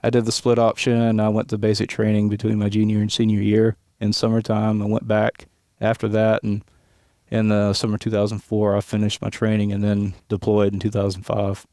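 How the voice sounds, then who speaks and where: A man from Tennessee in his late thirties speaks in a fairly low, flat-toned voice that sounds smooth and clean. He tells his story in a relaxed way, with frequent chuckles and restarts. The recording is dry and close. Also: a little fast, no room sound. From West Tennessee, USA. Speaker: police aviation unit leader, guest. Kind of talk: casual podcast interview. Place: hangar office.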